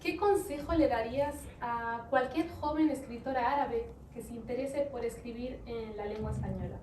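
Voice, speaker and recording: A woman's voice: a little high, moderate pace. A woman moderating a panel who asks a brief, direct question. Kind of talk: posing a question. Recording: distant roomy mic, audible noise.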